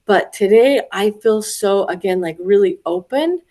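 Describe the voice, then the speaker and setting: A young woman in casual conversation, animated and laughing as she acts out quoted voices, a little stuffy from a head cold. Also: mid-range voice, measured pace. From Florida. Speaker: retreat attendee.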